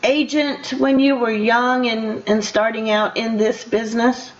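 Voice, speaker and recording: An older woman hosting a casual radio interview in a chatty, conversational way, quick to laugh. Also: fairly high pitch, flat delivery. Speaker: radio show host. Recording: dull, lo-fi radio interview audio.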